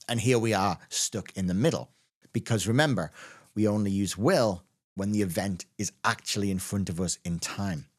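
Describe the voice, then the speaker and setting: Low-voiced man teaching grammar. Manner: patient, slows down on key words. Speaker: English teacher. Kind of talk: explaining grammar to camera.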